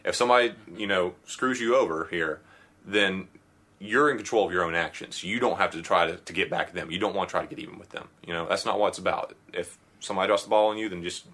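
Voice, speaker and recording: A man's voice: sits low, fast, pushed and bright. A man co-hosting a podcast, giving friendly advice in casual chat and dropping in lots of "you know". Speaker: podcast co-host.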